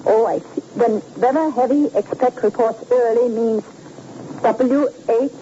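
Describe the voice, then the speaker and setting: A skeptical woman from a vintage radio crime serial, played by an actress. She speaks in a dry, deliberate way with a soft, dark tone. The recording is muffled and slightly noisy. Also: fairly high pitch, lightly acted. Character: skeptical, worried female accomplice of a crime boss. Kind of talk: old radio drama acting. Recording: muffled old radio recording, faint noise.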